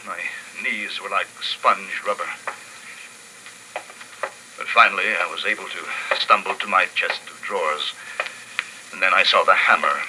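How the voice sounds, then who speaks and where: An actor playing an earnest, shaken young ecologist who survives alone, narrating a 1950s radio drama with dramatic, halting pauses. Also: male voice, low voice, pushed and bright, slight background noise, poor audio. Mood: weary, resolute. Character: lone male ecology student, snakebitten survivor, earnest and shaken. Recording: vintage 1950s radio recording, music behind.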